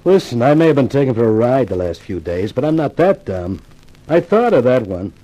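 A world-weary columnist in a fifties radio crime serial. His voice is dark and a little raspy, with lively rises and falls under the sardonic wit. He sounds like a tough guy who has seen it all. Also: medium pitch, a little fast, poor audio. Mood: wary. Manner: deadpan, sardonic wisecracking. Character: wry, world-weary male newspaper columnist, hardboiled narrator. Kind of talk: 1950s radio noir acting.

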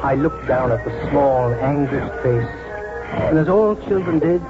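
An actor playing a kindly, weary old British country doctor in 1940s radio drama, speaking in a gentle, measured, reflective way. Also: male voice, mid-range voice, lively intonation, natural voice. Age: older. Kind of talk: 1940s radio drama acting, first-person narration. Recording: muffled 1940s radio broadcast, music behind.

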